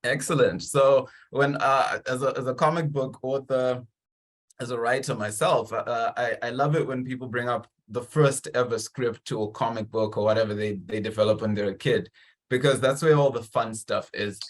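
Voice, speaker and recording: A man's voice: mid-range voice, fairly even intonation, moderate pace. A man hosting a conversational interview in an enthusiastic, encouraging manner. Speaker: interview host.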